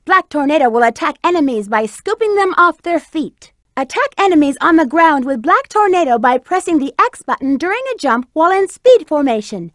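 A woman's voice: sits high, very animated, brisk, few pauses, fairly bright tone, no echo, studio quality. A woman voicing a sassy, flirty female bat treasure hunter in a video game, teasing and self-assured. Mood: instructive. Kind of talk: video game character voice acting.

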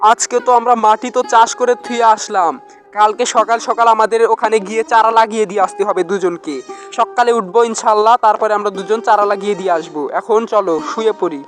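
A man's voice: high-pitched, steady tone, quick pace, fairly bright tone. A hardworking village husband from a cartoon folk tale played by a man, speaking. He is hopeful, earnest and reassuring, with music playing behind him. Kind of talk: amateur cartoon dialogue.

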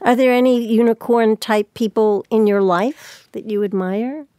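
A woman's voice: fairly high pitch, fairly even intonation, a little slow, warm tone, smooth, dry recording. A woman hosting a radio show, speaking as an interviewer and presenter. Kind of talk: interview hosting and scripted credits.

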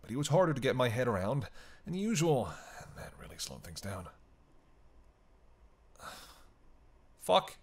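A man's voice: mid-range voice, big pitch swings, measured pace, long pauses, darkish tone, slightly rough, no echo, heavily acted. A man playing a weary, flirtatious vampire with teasing, sarcastic banter in an intimate roleplay audio drama. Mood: weary, sardonic. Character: sardonic, flirtatious male vampire, weary and hungry. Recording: noisy, ambient sound effects behind voice.

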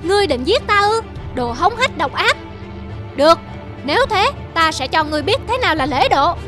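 Feisty little ant in a kids cartoon, really high voice, music under it. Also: female voice, big pitch swings, normal speed. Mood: defiant. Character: tiny plucky ant, defiant and proud. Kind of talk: children's cartoon dubbing.

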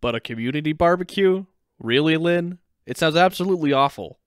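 Man playing a smooth-voiced, grumpy cartoon dad. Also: fairly high pitch, brisk, no room sound, clean studio sound, close to an everyday voice. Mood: exasperated. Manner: deadpan, grumbling. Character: grumpy, weary, reluctant cartoon father. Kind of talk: fan fiction dramatic reading.

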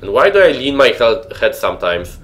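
A man's voice: mid-range voice, big pitch swings, fairly quick, fairly bright tone. Young man, Polish accent, horror game streamer, chatty, nervous mutters, home desk mic. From Poland. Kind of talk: horror game commentary with chat. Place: home room, indoors.

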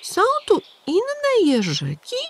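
A woman's voice: wildly swooping pitch, slow, vocal fry, a little mellow, slight background noise, heavily acted. A woman doing a small anxious boy hedgehog. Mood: worried. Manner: high, pleading and worried.

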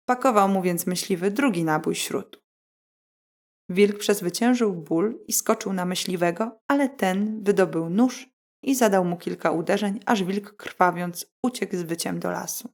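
A woman's voice: medium pitch, moderate pace. A woman storyteller reading a scripted bedtime fairy tale.

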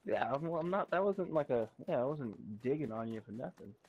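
A man talking a teammate through a game, calm, upbeat and reassuring. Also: mid-range voice, fairly quick, husky, muffled recording. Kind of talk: live gameplay chat.

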